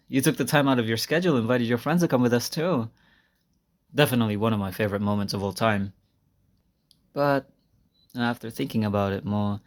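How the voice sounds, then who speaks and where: A man playing a shy, earnest high school senior in an intimate roleplay, talking softly and hesitantly to his crush. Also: natural voice. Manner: soft-spoken, hesitant, conversational with sighs and small laughs. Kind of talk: intimate roleplay audio monologue to a listener. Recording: amateur recording without a proper microphone.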